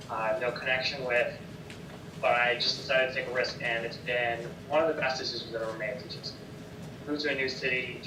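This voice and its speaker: Young American man, echoey and distant. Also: medium pitch. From Wisconsin, United States. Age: young adult. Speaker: panelist advising students. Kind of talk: informal advice and personal anecdote. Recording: echoey, distant, noisy background.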